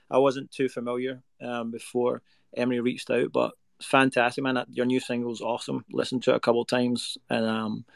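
Earnest Scottish man with gravelly voice. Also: medium pitch, no room sound. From Scotland. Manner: earnest, reflective, rambling with fillers. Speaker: guest singer-songwriter. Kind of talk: casual interview chat. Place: home recording space in Nashville.

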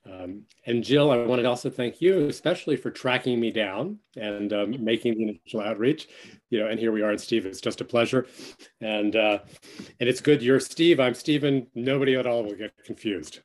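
A man's voice: medium pitch, normal speed. Older guy with a stuffy nose, lots of ums, talking over a video call. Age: 60s. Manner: hesitant, many ums, self-deprecating. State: stuffy, nasal from a cold. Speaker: guest author. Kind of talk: virtual event talk.

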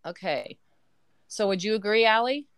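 Podcast host, woman, 40s, chatty and laughing, mid pitch, dry room. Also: normal speed, clean tone, no echo. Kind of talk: casual family chat. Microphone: remote call.

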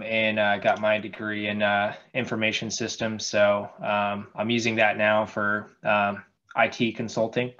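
Low flat voiced guy, um-ing a lot, kinda dull lo-fi audio. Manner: hesitant, many fillers. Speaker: referee guest. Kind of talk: casual video-call interview. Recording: dull lo-fi sound.

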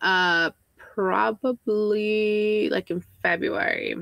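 A young woman with a Texan accent hosting a casual podcast chat, self-mocking and quick to laugh. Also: mid-range voice, fairly even intonation, creaky, a bit bright, dry recording. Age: young adult. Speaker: podcast host.

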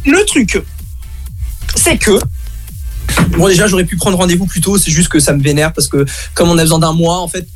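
A young man, a student, chatting casually and complaining in a fast, animated way. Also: sits high, faint music behind. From Baden-Württemberg, Germany. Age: young adult. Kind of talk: casual chat.

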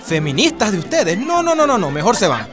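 A pompous party official, dismissive and agitated. His voice runs high for a man and swoops sharply, over music, in radio drama style. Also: huge pitch swings, fairly quick.

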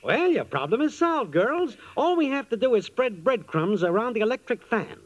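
A man's voice: very high-pitched, sing-song, moderate pace, background noise. An actor playing a breezy wise-guy schemer in an old-time radio comedy, with cocky, slangy patter. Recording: dull old radio recording.

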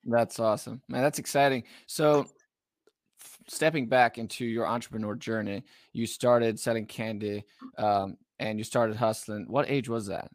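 A man's voice: medium pitch, fairly even intonation, normal speed, no echo. A man in his twenties hosting a podcast interview, upbeat and eager, with constant affirmations. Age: 20s. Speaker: podcast host. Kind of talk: interviewing, casual chat.

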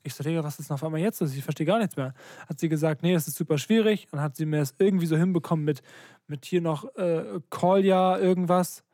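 A man's voice: fairly high pitch, quick pace, warm tone, no room sound. Slangy young man, close and dry. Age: young adult. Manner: slangy, colloquial, acts out quoted dialogue. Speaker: podcast co-host. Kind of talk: casual chat, animated storytelling.

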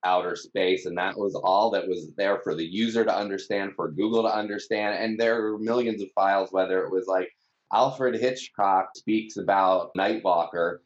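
A man's voice: low-pitched, steady tone, moderate pace. A man explaining casually in an interview as a startup team member, on a slightly dull recording.

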